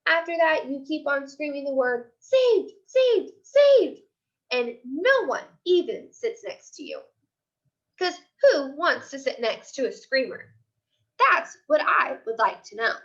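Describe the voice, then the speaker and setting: A woman voicing an excitable, opinionated little kindergarten girl in a children's chapter book, childlike and dramatic. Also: high-pitched, slow, lo-fi sound, full character voice. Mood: emphatic, opinionated.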